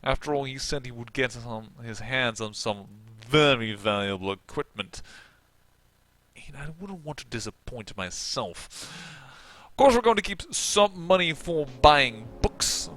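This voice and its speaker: A man's voice: medium pitch, expressive, measured pace, a bit bright, low-quality recording. A Dutch man with a Dutch accent, hosting a let's play and rambling in chatty, self-mocking commentary. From Netherlands. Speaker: gaming channel host.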